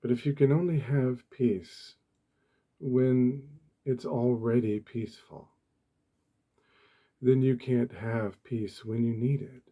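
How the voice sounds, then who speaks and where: Male ASMR host, soft and gentle, mellow and breathy, unhurried deliberate delivery for guided meditation. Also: medium pitch. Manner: gentle, deliberate, short phrases with pauses.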